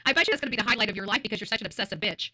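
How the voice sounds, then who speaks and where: Female livestreamer, blunt and combative, sharp forceful voice, racing pace, hammers phrases by repetition. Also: mid-range voice, expressive, rapid-fire, slightly rough. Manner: combative, confrontational, blunt and profane; emphatic, repeats phrases. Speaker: livestream host. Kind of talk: livestream rant to viewers.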